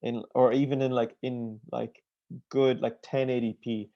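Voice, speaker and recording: A man co-hosting a livestream in casual chat, talking slowly and rambling with many fillers over a video call. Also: mid-range voice, level tone, highs cut off. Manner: slow, meandering, lots of "like" and restarts. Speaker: co-host of a translation livestream.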